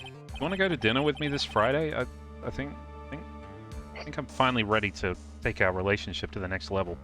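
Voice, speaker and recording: A man's voice: medium pitch, fast, bright, forceful tone, soft background music, close to an everyday voice. A man voicing an earnest but awkward, wryly crude defense lawyer in a comedic game fan dub. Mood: awkward, joking. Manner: hesitant, jokey, theatrical.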